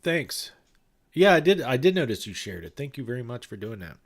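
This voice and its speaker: A man's voice: medium pitch, big pitch swings, brisk. A man with a stuffed-up, nasal voice from a cold, chatting loosely with viewers in a livestream, rambling with fillers and restarts. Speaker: livestream host and ghost-hunting event organizer. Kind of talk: casual livestream chat with viewers.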